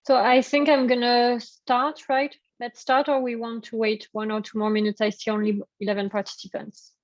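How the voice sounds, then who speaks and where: A woman's voice: on the high side, level tone, moderate pace, no room sound, lo-fi sound. French-accented woman presenting a webinar. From France. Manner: hesitant, many fillers and restarts. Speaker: workshop presenter. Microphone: video call.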